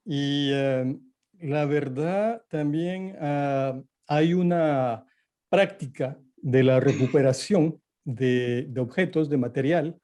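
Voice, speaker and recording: A Guatemalan man speaking with a Guatemalan Spanish accent as a guest on an online panel, slow and thoughtful with many pauses. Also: fairly high pitch, warm tone. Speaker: panel guest, theater artist.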